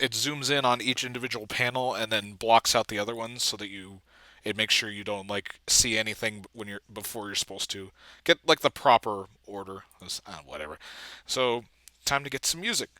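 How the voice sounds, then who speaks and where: A man giving a casual walkthrough as an amateur video editor, thinking aloud haltingly and trailing off. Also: mid-range voice, bright, forceful tone, dry recording. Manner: halting, trailing off mid-thought.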